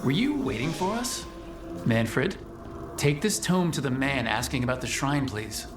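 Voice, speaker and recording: A man playing a practical young male adventurer in a video game, speaking in a direct, brisk way. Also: medium pitch, lively intonation, over music, close to an everyday voice. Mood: curious.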